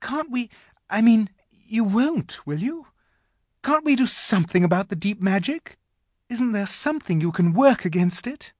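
A performer voicing an anxious young girl in a storybook, pleading in a hesitant, breathy way. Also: medium pitch, lively intonation, measured pace, darkish tone, no room sound, clean studio sound, pitched above the performer's own voice.

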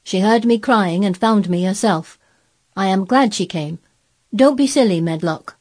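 Woman voicing an imperious, higher-pitched sickly young boy. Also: unhurried, darkish tone, slightly rough. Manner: commanding, peremptory. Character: imperious, wilful sickly ten-year-old boy. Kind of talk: storybook character voice.

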